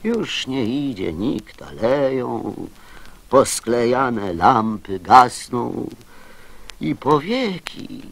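A man recites children's verse theatrically in a gravelly, husky voice. The lines are drawn out at an extremely slow pace, as a dramatic storyteller would. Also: mid-range voice, very animated, a bit bright, dry recording.

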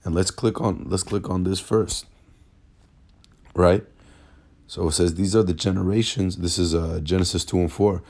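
Hoarse raspy low-voiced man. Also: fairly even intonation, a little mellow. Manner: halting, repeats words, many fillers. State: hoarse from illness. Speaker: religious teacher. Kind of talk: informal bible lesson over screen recording. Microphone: phone screen recording.

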